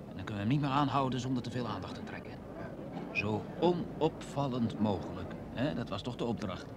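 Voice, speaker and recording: An actor playing a cautious, brisk junior security agent on a stakeout in an old Dutch radio play. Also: male voice, medium pitch, expressive, natural voice. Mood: urgent, hushed. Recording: old radio recording, loud background noise.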